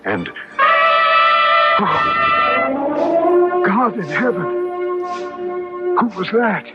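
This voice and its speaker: An actor playing a tormented, fearful gentleman telling his own horror story in theatrical, literary radio drama style. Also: very high-pitched, huge pitch swings, very slow, heavily acted. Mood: grave narrating, then horrified. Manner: literary, theatrical, anguished delivery. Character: tormented gentle husband possessed, fearful, melancholy narrator of horror. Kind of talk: 1970s radio drama acting, first-person narration. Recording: dull old radio recording, music underneath.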